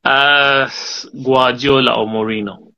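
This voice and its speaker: A man's voice: mid-range voice, steady tone, slow, gravelly, bright, forceful tone. A man hosting a casual interview show, upbeat, switching between English and French. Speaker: bilingual host. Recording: dull lo-fi sound.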